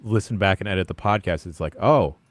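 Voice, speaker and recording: A man with a deep voice co-hosting a podcast in casual, easygoing chat. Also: brisk, no echo. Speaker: podcast co-host.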